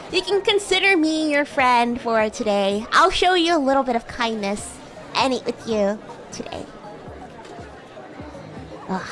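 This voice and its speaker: A woman's voice: high-pitched, a bit bright. A woman streamer improvising a roleplay as a bratty demon schoolgirl classmate, with a playful, teasing, giggly manner. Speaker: VTuber streamer. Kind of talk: improvised roleplay livestream chat.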